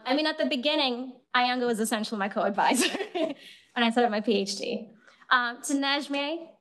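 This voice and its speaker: A woman's voice: fairly high pitch, fairly bright tone. A young woman with a Kenyan accent, giving a thank-you speech at her thesis defense to a room with an audience and laughing often between phrases. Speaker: PhD candidate.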